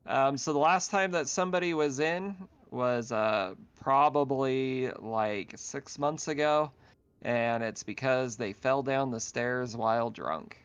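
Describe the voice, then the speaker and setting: A man's voice: medium pitch, slow, smooth, no echo. A man casually narrating an online tabletop roleplaying game as game master. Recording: dull lo-fi online voice chat.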